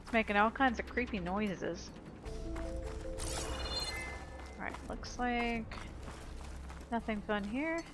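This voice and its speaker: A woman's voice: fairly high pitch, extremely slow, long pauses. A woman giving casual video game commentary, thinking aloud in a chatty, informal way. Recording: loud game music under voice. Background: video game music and effects.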